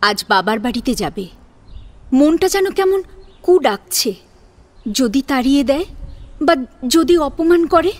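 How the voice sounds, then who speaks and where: High-voiced female story narrator. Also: lively intonation, unhurried, pauses often. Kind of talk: dramatic scripted narration. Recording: music behind the voice.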